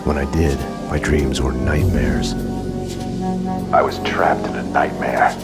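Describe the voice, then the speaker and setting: An actor playing a world-weary, brooding police detective in a noir game, deadpan and terse, sounding like a hardboiled narrator. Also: male voice, rumbling low, takes it slowly, loud music behind the voice, exaggerated voice.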